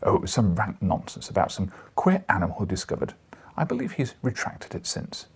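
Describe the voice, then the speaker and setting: A dry, disdainful man of science, put on by a male audiobook reader, gossips scornfully. His voice is gravelly and animated, with emphatic turns. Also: lowish voice, fairly quick, exaggerated voice. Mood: scornful, amused. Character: thin, dry, leathery scientific journalist, disdainful.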